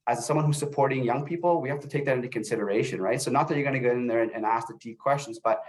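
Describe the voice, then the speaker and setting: Middle-aged guy from el salvador doing a webinar talk, talks fast but halting, keeps repeating little words. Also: mid-range voice. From born in El Salvador, grew up in North America. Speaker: webinar presenter, youth services manager. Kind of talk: informal webinar presentation with personal story.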